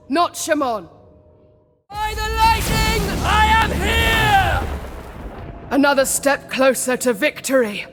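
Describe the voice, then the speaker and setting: An actress playing a proud, righteous holy warrior in a fantasy game, solemn, commanding and declamatory. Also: female voice, sits high, in a large hall. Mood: solemn resolve. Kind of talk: dramatic fantasy game acting.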